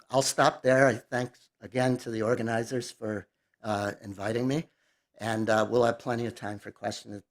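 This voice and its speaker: A man giving an academic lecture in a relaxed, halting way with many fillers. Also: fairly low pitch. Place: lecture hall.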